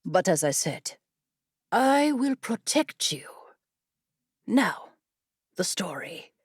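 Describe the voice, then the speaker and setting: A woman's voice: medium pitch, very animated, takes it slowly, slightly rough, no echo, exaggerated voice. A tough female desert guide, as a woman narrator voices her, watchful and protective. She speaks tersely and with command, taking her time, in a dry-witted storybook manner with no room sound. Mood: firm.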